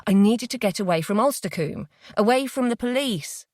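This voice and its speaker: A panicked young man, as a woman narrator puts him on, yells and begs by turns. His voice leaps around in pitch. Close, dry recording. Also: expressive, moderate pace, dry recording. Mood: tense. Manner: yelling and pleading.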